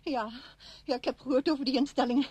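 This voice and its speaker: An actress playing a bitter, grieving widow in a radio play, with brittle, sardonic and halting delivery. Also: female voice, high-pitched, steady tone, a little mellow, a little hiss or hum, lightly acted. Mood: bitter, sarcastic. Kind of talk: Dutch radio drama acting.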